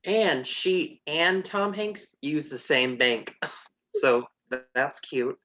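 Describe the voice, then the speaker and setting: A man's voice: fairly high pitch, lively intonation, takes it slowly, bright, forceful tone. A man narrating a comedy story in a dry way, with hesitant asides.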